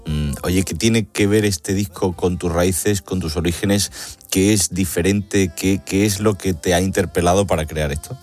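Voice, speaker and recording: A man from Spain hosting a radio interview, asking questions in a relaxed, friendly way. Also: low voice, moderate pace, faint music behind. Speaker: host, interviewer.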